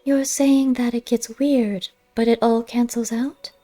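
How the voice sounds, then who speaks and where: A woman's voice: a little high, little pitch movement, a little slow, mellow, faint music behind, pitched above the performer's own voice, lightly acted. A woman voicing a wry, curious female cat in a storybook reading, dryly questioning and skeptical. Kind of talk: audiobook character voice.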